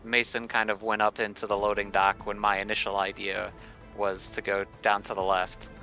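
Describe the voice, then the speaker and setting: A man's voice: fairly low pitch, monotone, moderate pace, bright, forceful tone, highs cut off, faint music behind. A man chatting casually as a player at a tabletop game, tentatively thinking aloud.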